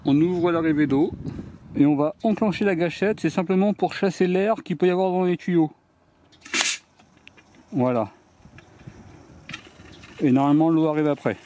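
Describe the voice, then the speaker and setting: A man's voice: fairly high pitch, moderate pace, pauses often, warm tone, a little hiss or hum. A man giving a hands-on, step-by-step demonstration of a tool outdoors on a garden deck, talking straight to viewers. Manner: plain step-by-step explaining, addresses viewers directly. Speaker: DIY video host.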